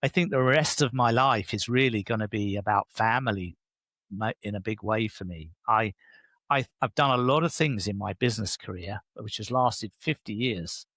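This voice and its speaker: A man's voice: normal speed, no room sound. A man, an enthusiastic interview guest who speaks casually and keeps affirming with "yeah". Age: 60s. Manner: enthusiastic, animated pitch jumps, frequent affirming "yeah". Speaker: guest, leadership coach.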